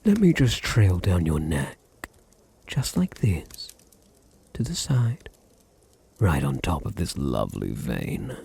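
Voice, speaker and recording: A low, gravelly British vampire lord, acted out by a man, murmurs with a soft, mellow darkness. His husky delivery swings expressively between condescending tenderness and seductive command, often sinking toward a whisper in intimate ASMR roleplay style. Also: wildly swooping pitch, heavily acted. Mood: teasing, hungry. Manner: slow, soothing, teasing, condescendingly tender. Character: dominant, possessive, seductive male vampire noble doting on his servant.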